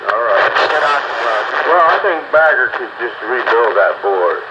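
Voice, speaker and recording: A man's voice: medium pitch, very animated, unhurried. A man chatting casually over two-way radio in a folksy, colloquial way. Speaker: amateur radio operator in a group chat. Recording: lo-fi radio transmission with loud static.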